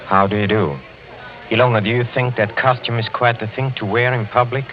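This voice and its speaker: An actor playing a suave, sinister older European count in 1940s radio style, courtly and insinuating, halting and chuckling softly. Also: male voice, mid-range voice, steady tone, lightly acted. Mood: stern, courtly. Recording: muffled old 1940s radio recording, faint music.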